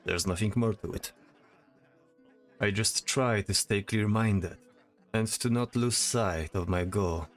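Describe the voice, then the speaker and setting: A man's voice: fairly low pitch, slow pace, long pauses, soft background music. A man voicing a stoic, guarded soldier who speaks in a serious, restrained way in a fantasy audio drama. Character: stoic, guarded male soldier focused on his mission.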